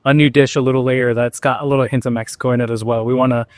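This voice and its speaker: A man's voice: mid-range voice, little pitch movement, rapid-fire, no room sound. A man who works as bartender and co-owner, talking casually and eagerly in an interview at his restaurant.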